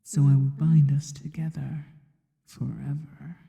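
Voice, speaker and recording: A seductive spider monster, acted by a woman, whispers with very dark, velvety softness in long reverb. She is possessive and devoted, teasing her listener slowly and intimately in an ASMR roleplay. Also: sits low, level tone. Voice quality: breathy, mostly whispered. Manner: slow, teasing, intimate delivery.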